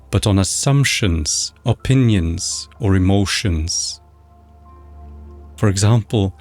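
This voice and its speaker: Slow, low-voiced man guiding meditation. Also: darkish tone. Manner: measured, instructional, scripted delivery. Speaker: meditation guide. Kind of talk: guided meditation narration. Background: background music.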